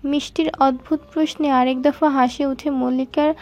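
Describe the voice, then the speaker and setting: High, even-toned woman narrating a story. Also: moderate pace, faint music behind.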